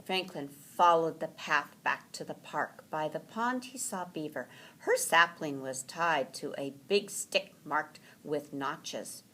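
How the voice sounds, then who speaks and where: A woman reading a children's story aloud in an animated, deliberate storytelling manner. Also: low voice.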